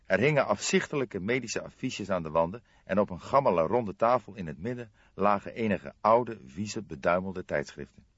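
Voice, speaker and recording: Male audiobook narrator, lowish pitch, animated intonation, scripted literary reading, dry slightly dull recording. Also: moderate pace, few pauses, breathy edge, dry recording.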